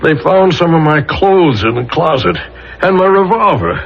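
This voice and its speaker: An indignant American husband in jail, pleading and halting in radio drama style. His voice is creaky and jumps widely in pitch. It comes through a muffled old radio broadcast with faint noise. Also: lively intonation. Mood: defensive, pleading. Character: distressed, indignant jailed husband protesting his innocence.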